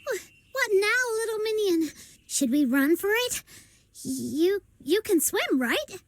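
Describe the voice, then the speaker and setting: A woman's voice: very high-pitched, sing-song, slow pace, dry recording, full character voice. A woman voicing a small, cocky, bossy girl companion in a video game, brash and playful. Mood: rattled, defensive. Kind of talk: video game character acting.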